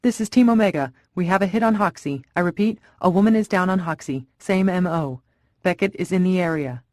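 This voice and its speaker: A woman voicing a panicked male police officer who shouts urgent reports over a radio. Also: on the low side, a little fast, no room sound, clean studio sound, lightly acted.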